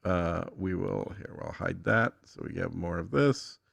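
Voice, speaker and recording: A man's voice: deep voice, a little slow, vocal fry, dry recording. A man talking casually as a guest giving a tutorial, thinking aloud in a matter-of-fact way.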